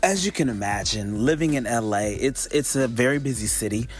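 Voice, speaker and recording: A man's voice: medium pitch, a little fast, soft background music. A man hosting a podcast in a casual, upbeat way, playful and folksy as he talks straight to listeners and repeats phrases for emphasis. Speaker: podcast host.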